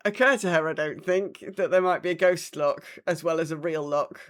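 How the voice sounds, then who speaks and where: A woman's voice: sits low, normal speed. A woman hosting a podcast, chatting casually about her week of gaming and acting out reactions as she retells things. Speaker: podcast host.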